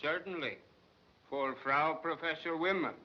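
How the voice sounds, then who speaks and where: An actor playing a cheeky, teasing witness in old film dialogue. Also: male voice, on the high side, unhurried, close to an everyday voice. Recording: dull old film soundtrack.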